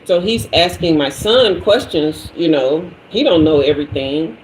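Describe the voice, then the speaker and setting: A woman, telling a story to a live audience, acting out everyone's voices in a lively way. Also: low-pitched, measured pace, reverberant, slight background noise, low-quality recording. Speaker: tarot reader and host.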